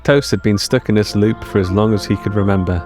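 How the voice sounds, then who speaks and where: A man narrating scripted audio fiction in a measured, deliberate storytelling manner with short dramatic phrases. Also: fairly low pitch, a little mellow. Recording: music under the narration.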